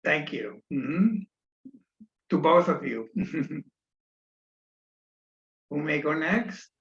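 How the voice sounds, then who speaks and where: A man facilitates an online meeting in a slightly high voice. He talks very slowly, with polite, hesitant phrasing. The audio is echoey and somewhat muffled. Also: lively intonation, a bit bright. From Netherlands. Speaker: session facilitator. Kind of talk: unscripted introduction at an online meeting. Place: remote video meeting.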